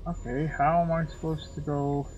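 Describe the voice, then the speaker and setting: A man streaming a game, nursing a cold, musing slowly as he plays. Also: fairly high pitch, level tone, a little mellow, muffled recording, slight background noise. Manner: slow, musing, drawn-out remarks. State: has a cold. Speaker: gaming streamer. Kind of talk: let's play commentary.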